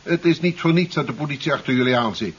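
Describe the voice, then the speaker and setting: An actor playing an indignant, easily frightened scientist in a 1970s radio drama, with theatrical, emphatic, formal speech. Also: male voice, mid-range voice, quick pace, breathy edge, natural voice. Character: indignant male scientist, scornful, then frightened under threat. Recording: dull, hissy 1970s radio recording.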